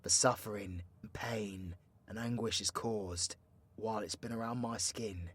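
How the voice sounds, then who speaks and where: A British man playing a cocky, foul-mouthed dark-magic warlock in an audio roleplay, with slangy, teasing, proud delivery. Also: fairly low pitch, fairly even intonation, a little slow, slightly rough. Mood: brooding, intense. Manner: cheeky slangy banter, swinging between hushed menace and loud scolding. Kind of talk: roleplay ASMR audio drama.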